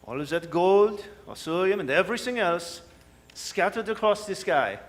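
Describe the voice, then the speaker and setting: A man's voice: high-pitched, animated, normal speed. A man playing a suave, scheming French villain with a French accent, courtly, wordy and sardonic, in a radio drama. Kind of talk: staged radio drama performance. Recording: live hall, long reverb, noisy.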